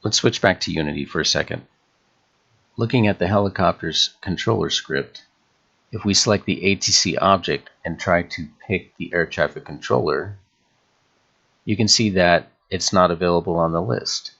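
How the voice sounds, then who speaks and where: A man narrating an instructional screencast as a tutorial host, in a measured, explanatory way. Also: sits low, gravelly, a bit bright, muffled recording. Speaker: game development tutorial host.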